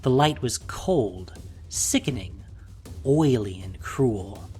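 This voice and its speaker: A man narrating a scripted fantasy audio drama in a dramatic, deliberate way, with a breathy voice. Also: medium pitch, expressive, darkish tone, soft background music.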